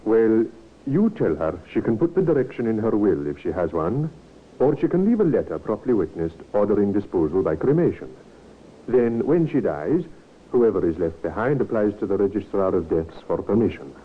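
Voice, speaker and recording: An actor playing a reassuring, matter-of-fact doctor with a measured professional manner in an old radio drama. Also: male voice, lowish voice, sing-song. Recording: tinny, lightly noisy old radio recording.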